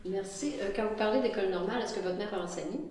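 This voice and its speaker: Woman interviewer, quick soft questions, very echoey room. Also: medium pitch. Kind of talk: moderating a group interview.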